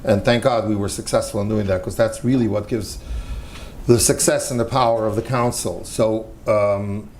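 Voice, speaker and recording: A man's voice: on the low side, fairly even intonation, normal speed, darkish tone, a little hiss or hum. Earnest older American man on a panel. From United States. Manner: earnest, emphatic, repeats key phrases. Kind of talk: panel discussion.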